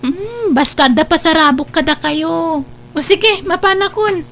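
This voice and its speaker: A woman's voice: very high voice, measured pace, fairly bright tone, heavily acted. A woman playing a cheerful, affectionate schoolchild in an audio drama, with an Ilocano accent. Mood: cheerful, fond. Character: cheerful, affectionate schoolchild taking leave of mother.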